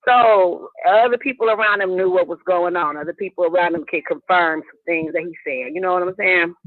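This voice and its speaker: A man calling in to a show, gossiping in a rambling, animated way and mimicking other people. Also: sits high, fairly quick, dry recording. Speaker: caller. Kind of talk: casual phone-in chat. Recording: phone line.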